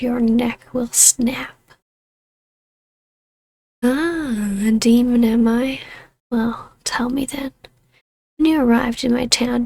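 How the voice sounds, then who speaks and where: An imperious vampire baroness, played by a woman in an ASMR fantasy roleplay. Her voice sits fairly high and keeps a very even, controlled line, taunting in a measured hush that slips into whispers. The sound is completely dry, with no room around it. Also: dry recording. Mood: mocking, amused, then indignant, proud. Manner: slow, hushed, breathy delivery slipping into whispers. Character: vampire baroness, ancient huntress turned ruler, taunting, imperious, regal. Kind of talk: scripted ASMR audio roleplay.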